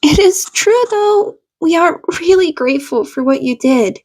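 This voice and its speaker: A woman voicing an earnest, excitable young male vigilante in an audiobook-style story reading. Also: extremely high pitch, unhurried, voice pushed up. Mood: grateful.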